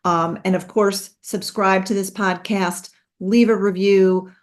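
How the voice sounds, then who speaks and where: A woman's voice: mid-range voice, steady tone, takes it slowly. A woman hosting a podcast, talking in a chatty, upbeat way with frequent ums. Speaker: podcast host. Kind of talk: casual podcast talk.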